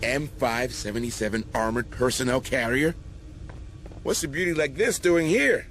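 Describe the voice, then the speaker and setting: A man playing a keen, gruff marine squad soldier in a video game. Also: medium pitch, normal speed, fairly bright tone, slight background noise, natural voice. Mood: alert, curious.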